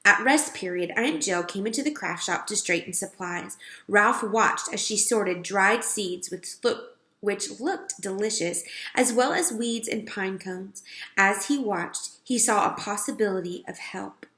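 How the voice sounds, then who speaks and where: A woman reading a children's storybook aloud as narrator, with expressive, emphatic delivery. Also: medium pitch, unhurried. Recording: roomy, echoing sound.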